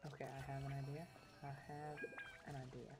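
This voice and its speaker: A woman's voice: rumbling low, little pitch movement, brisk. A woman streaming a game with casual, playful commentary, often muttering to herself. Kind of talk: casual live gameplay commentary. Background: game music.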